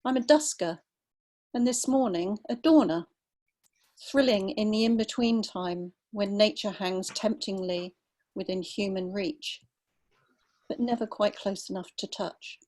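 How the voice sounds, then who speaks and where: An older woman from London with a southern English accent, reading her own writing in a measured literary style. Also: mid-range voice, a little mellow. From London, England. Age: 50s or older. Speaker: writer reading own story. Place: online video call.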